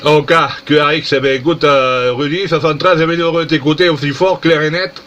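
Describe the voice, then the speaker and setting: A man's voice: on the high side, fairly even intonation, fairly quick, a bit bright. A man chatting casually as an amateur radio operator, amazed and repeating himself. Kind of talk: casual CB-style radio contact. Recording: dull lo-fi radio contact recording.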